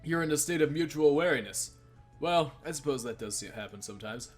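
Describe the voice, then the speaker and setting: A man's voice: a little high, animated, brisk. Man reading a game aloud over music. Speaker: host reading a visual novel. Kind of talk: let's play narration with joking banter.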